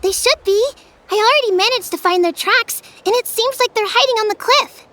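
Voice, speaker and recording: A woman's voice: extremely high pitch, brisk, sharp tone. A woman voicing an earnest, anxious little village child in a video game, with a light, childlike voice. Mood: worried. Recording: music behind the voice.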